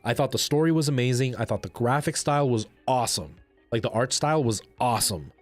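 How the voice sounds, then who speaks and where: A man's voice: mid-range voice, lively intonation, fast talker, faint music behind. A man chatting casually and enthusiastically with viewers as he hosts a video game livestream. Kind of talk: casual livestream chat while playing a game.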